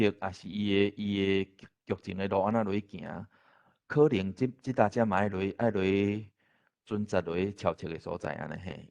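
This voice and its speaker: A man with a Taiwanese accent giving informal advice in an online discussion, halting and repeating phrases. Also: on the low side, vocal fry, a little mellow. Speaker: experienced panelist. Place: online meeting. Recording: dull online meeting audio.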